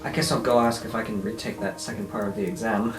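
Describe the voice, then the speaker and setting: A woman's voice: rumbling low, fairly even intonation, fast talker. A woman playing a tabletop roleplaying game, speaking in casual improvised roleplay. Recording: roomy, distant mic, music behind.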